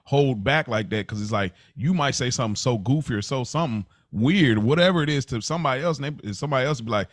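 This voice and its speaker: A man's voice: medium pitch, lively intonation, fast, few pauses, no echo, studio quality. An American man hosting a podcast in casual chat, speaking earnestly and often doubling back on his words. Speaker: podcast host.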